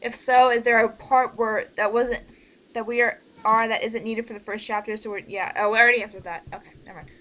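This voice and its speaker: A woman's voice: fairly high pitch, steady tone, brisk, a bit bright. A young adult woman hosting a casual online group meeting, rambling and often restarting her sentences. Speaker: host leading an online cast meeting. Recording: noisy home mic with keyboard typing.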